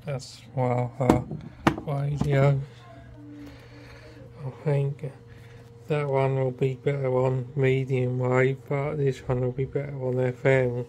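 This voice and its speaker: A man giving casual, halting, muttered hands-on commentary about gadgets. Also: medium pitch, monotone, mellow. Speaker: radio hobbyist reviewer. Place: indoors in a house. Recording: clearly noisy handheld home recording.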